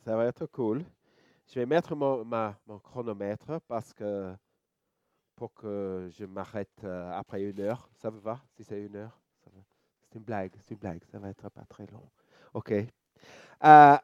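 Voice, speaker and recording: Slow, mellow Australian man preaching. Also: medium pitch, no echo. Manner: relaxed, joking, halting with many fillers and restarts. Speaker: church elder preaching. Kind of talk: sermon.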